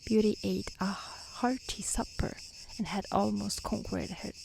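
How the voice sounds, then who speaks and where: A woman storyteller reading a fairy tale in a calm, soothing, hushed ASMR style. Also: mid-range voice, a little slow, creaky, background noise. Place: outdoors in nature. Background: faint insects, nature ambience.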